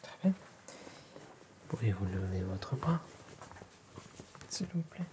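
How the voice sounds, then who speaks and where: A man doing a soft-spoken, half-whispered ASMR tailor roleplay in a polite, formal, attentive manner. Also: fairly low pitch, big pitch swings, takes it slowly, noisy background.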